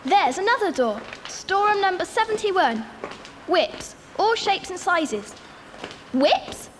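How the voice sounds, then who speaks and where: A woman's voice: very high-pitched, very animated, takes it slowly, full character voice. A woman playing a pert, nosy child in a children's audio drama, questioning and exclaiming. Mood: puzzled. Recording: reverberant, noisy old cassette recording.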